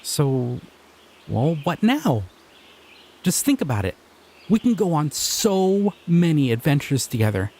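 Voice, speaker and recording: A young man voicing a shy, excitable male slime creature in an audio roleplay, talking in an earnest, flustered, giggly way. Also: on the high side, measured pace, pauses often, breathy edge, full character voice. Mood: dreamy. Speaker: voice actor.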